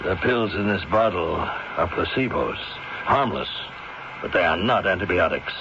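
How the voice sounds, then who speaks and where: Low-voiced, resolute doctor, radio drama. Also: male voice, lively intonation, gravelly, full character voice. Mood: grave, resolute. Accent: American. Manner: measured, authoritative, repeats himself. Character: resolute male family doctor calmly exposing a crime. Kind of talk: 1970s radio drama acting. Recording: muffled, noisy 1970s radio recording.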